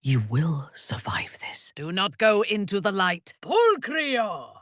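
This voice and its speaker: A woman voicing a haughty, ruthless drow warrior in a fantasy video game, husky, measured and imperious. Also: medium pitch, big pitch swings, fairly bright tone. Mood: low, intense, then shouting in battle.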